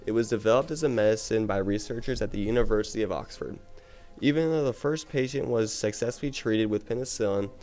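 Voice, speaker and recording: A man's voice: on the low side, fast, a little mellow, faint music behind. A man reading scripted narration as a reporter on a 1920s-style radio program.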